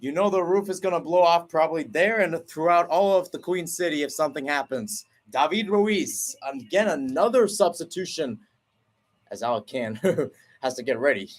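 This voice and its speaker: A young man doing excited play-by-play commentary of a soccer penalty shootout, with his pitch and volume leaping at each kick. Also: moderate pace. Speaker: radio play-by-play announcer. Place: at home, not at the stadium.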